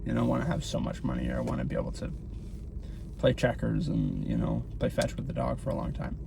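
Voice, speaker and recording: A man's voice: mid-range voice, big pitch swings, fast, creaky, noisy background. A man around thirty giving casual motivational advice to the camera while driving, talking in a rambling way. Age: 30s. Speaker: vlogger. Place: in a car.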